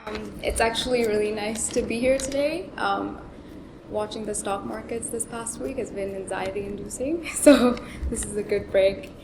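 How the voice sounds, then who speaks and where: A woman's voice: medium pitch, a crowd in the background, noisy background. Young woman reading her award speech, earnest, echoey room, busy background. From Sri Lanka. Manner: earnest, measured, reads from prepared text. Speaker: award recipient, journalism student. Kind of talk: prepared acceptance speech. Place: luncheon hall, indoors. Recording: echoey banquet room, audible ambience.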